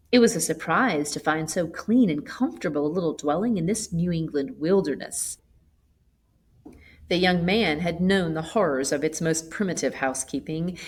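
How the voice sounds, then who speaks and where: A woman reading a literary short story aloud as an expressive narrator. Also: fairly low pitch. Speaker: story reader.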